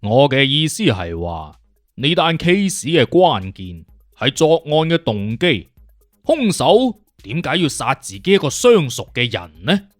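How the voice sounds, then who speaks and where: Man acting a cocky, animated Cantonese detective consultant. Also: on the high side, no echo, clean studio sound, soft background music. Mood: confident deducing. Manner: self-assured, emphatic, deliberate lecturing. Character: witty, conceited male detective consultant explaining deductions. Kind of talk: audiobook character acting.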